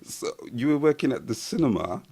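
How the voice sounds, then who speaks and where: A man co-hosting a podcast, asking calm, curious questions. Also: mid-range voice, brisk, slightly rough.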